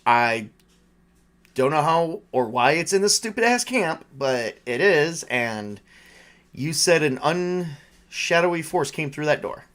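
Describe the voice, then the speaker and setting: A man's voice: fairly high pitch, animated, takes it slowly, a bit bright. A man playing an anxious, skeptical college student in a tabletop roleplaying game, incredulous, blunt and a bit rambling. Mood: uneasy, reasoning.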